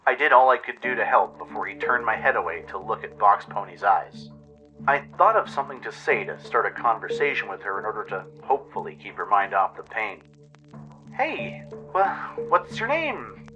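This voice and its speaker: A man's voice: lowish voice, fairly quick, dull audio, over music. A man reading scripted first-person story narration for a radio play.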